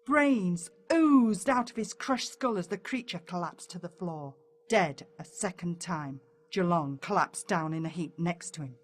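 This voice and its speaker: A woman with an Australian accent reads a first-person story as a dramatic, expressive audiobook narrator. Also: lowish voice, a little slow.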